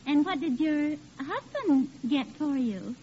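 An actress playing an affected, gushing, catty married neighbor in 1940s radio comedy. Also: female voice, high-pitched, animated, slow, warm tone, slight background noise. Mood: sweetly catty. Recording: muffled old radio recording.

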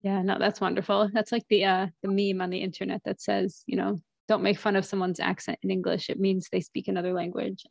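A woman's voice: mid-range voice, little pitch movement, fairly quick, gravelly, hardly any pauses, a little mellow, no echo, highs cut off. A woman hosting a casual interview podcast, encouraging her guest with frequent affirming murmurs. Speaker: podcast host.

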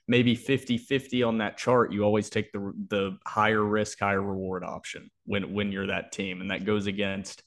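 A man's voice: on the low side, flat delivery, moderate pace, hardly any pauses. A man co-hosting a sports podcast, talking through analysis and predictions in a casual, explanatory way.

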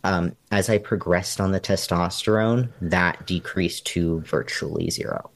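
Young man, very low voice, dry sound, hesitant, reflective interview guest. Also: a little slow, vocal fry, no echo. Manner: hesitant and reflective, many ums. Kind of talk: candid personal interview.

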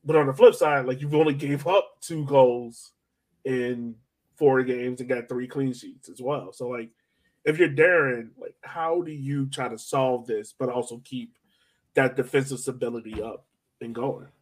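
A man's voice: medium pitch, unhurried. A man co-hosts a casual soccer podcast. His voice sits in a middle register. He talks tentatively, often turning his thoughts into questions. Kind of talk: casual sports discussion.

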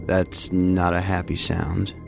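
A man plays a dry-witted federal agent in an audio drama. His very low voice stays calm and deadpan, with music clearly underneath. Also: slow pace, heavily acted. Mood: calm, wary.

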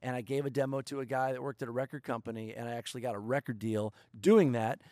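A man's voice: mid-range voice, fairly even intonation, fast, darkish tone, no echo, clean studio sound. A middle-aged man from Detroit, a songwriter guest telling jokey stories about his start in music in a casual podcast chat. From Detroit, Michigan. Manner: playful, jokey storyteller.

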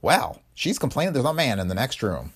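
A man's voice: medium pitch, big pitch swings, fairly quick, breathy edge, voice pushed up. A man voicing a crafty, cackling old male detective who talks in a folksy, ungrammatical way. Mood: gleeful.

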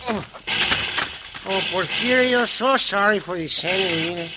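A man acting a comic, flattering Mexican sidekick in an old radio western, with accented broken English full of exclamations, on an old recording. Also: sits high, a little slow, very noisy. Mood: plaintive, nervous.

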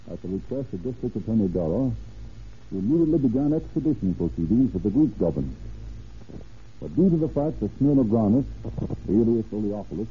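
A male narrator delivers formal, declamatory 1930s radio narration. His voice comes through a thin, narrow band with light background hiss. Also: medium pitch. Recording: tinny, hissy old radio recording.

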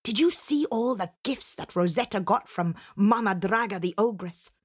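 Envious fairy-tale mum, female reader, commanding and grasping, with animated swings in pitch. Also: normal speed, slightly rough. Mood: greedy, bossy. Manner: scolding, commanding. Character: envious, bossy, greedy mother of a girl.

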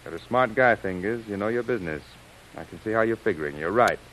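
Sardonic old-time radio gang boss. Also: male voice, fairly low pitch, expressive, fast talker, a bit bright, slight background noise, low-quality recording. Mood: scheming, commanding. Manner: tough gangster slang. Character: sinister male gang chief, sardonic, scornful, commanding. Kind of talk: old-time radio crime serial acting.

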